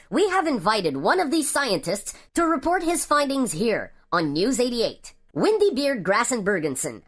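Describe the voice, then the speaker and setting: A woman playing a blunt male news anchor in an amateur sci-fi radio play, speaking like a presenter. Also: mid-range voice.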